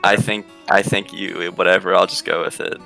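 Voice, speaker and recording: A man's voice: sits low, little pitch movement, moderate pace, creaky, pushed and bright, poor audio. A man playing in a tabletop roleplay game, answering briefly and in a laid-back way. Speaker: player. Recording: mic faintly off, music behind.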